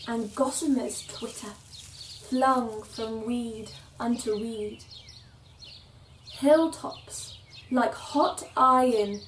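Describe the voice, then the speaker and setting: A woman recites verse with measured, deliberate phrasing. Her voice sits fairly high and moves very slowly. She is a little way from the mic, with birds chirping behind her. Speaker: poetry reader. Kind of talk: slow recited poetry reading. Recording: roomy, distant, faint noise with birdsong.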